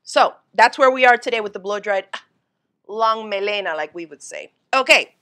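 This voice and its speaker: A woman's voice: mid-range voice, expressive, moderate pace, pushed and bright. A woman in her thirties hosts a casual livestream quilting lesson from her home sewing studio, chatting and greeting viewers by name. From Florida, USA. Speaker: quilting teacher and livestream host.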